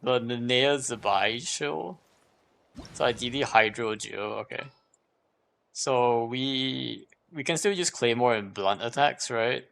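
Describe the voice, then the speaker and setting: A man's voice: medium pitch, slow pace, gravelly, a bit bright. A man giving casual live gameplay commentary, thinking aloud as he repeats and questions himself. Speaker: game livestreamer.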